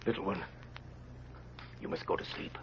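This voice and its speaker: An actor playing a tender, anxious father on the run in an old radio drama, speaking in a hushed, grave, urgent way. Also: moderate pace, background noise, natural voice. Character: tender, anxious fugitive father protecting his little girl. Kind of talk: 1930s radio drama acting. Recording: dull lo-fi old radio recording.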